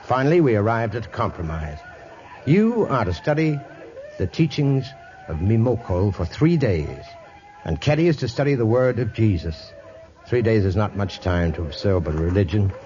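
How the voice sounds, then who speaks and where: An actor playing a kindly, reasonable missionary reverend in 1950s radio drama style, on an old dull recording. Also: male voice, on the low side, sing-song, normal speed, a little mellow, slight background noise. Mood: reassuring.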